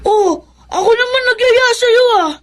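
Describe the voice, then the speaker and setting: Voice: very high-pitched, moderate pace, fairly bright tone. A performer in a radio drama playing a sweet, eager, affectionate little boy. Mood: affectionate, insistent. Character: sweet, excitable little boy, the favoured younger son. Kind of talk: Tagalog radio drama acting.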